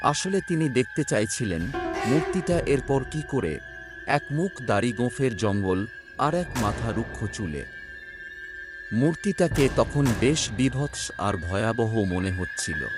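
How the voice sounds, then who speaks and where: A man narrating a scripted horror story in a dramatic, suspenseful, measured literary manner. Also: medium pitch. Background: background music.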